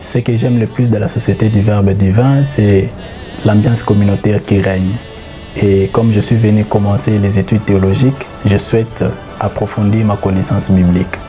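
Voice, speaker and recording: A young seminarian from the DR Congo giving a brief, steady self-introduction. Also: male voice, lowish voice, moderate pace, over music.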